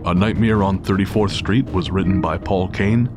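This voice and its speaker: A man reading a scripted dramatic story as narrator, measured and grave. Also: deep voice. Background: faint music.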